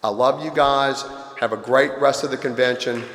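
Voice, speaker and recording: A man's voice: medium pitch, fairly even intonation, moderate pace, heavy background noise. Man, 50, from Louisiana; earnest heartfelt speaker, echoey convention hall, long reverb. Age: 50s. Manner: earnest, confessional, emotional. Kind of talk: personal recovery talk.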